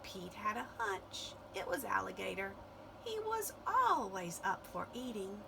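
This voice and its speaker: Female storybook reader: unhurried, expressive, some vocal fry, noticeable background noise. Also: medium pitch. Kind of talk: expressive children's read-aloud narration.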